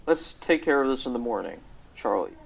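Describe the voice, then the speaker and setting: A man's voice: mid-range voice, big pitch swings, a little fast, vocal fry, breathy edge, heavily acted. A teenage boy playing a troubled, pleading company boss and devoted husband in a radio drama. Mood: weary, guarded. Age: teens, high school student.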